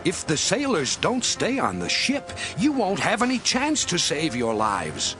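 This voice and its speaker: An actor playing the apostle Paul in an audio drama, earnestly pleading and reassuring the ship's crew. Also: male voice, sits high, wildly swooping pitch, unhurried, pushed and bright, exaggerated voice. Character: apostle Paul, calm steadfast older man. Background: music score.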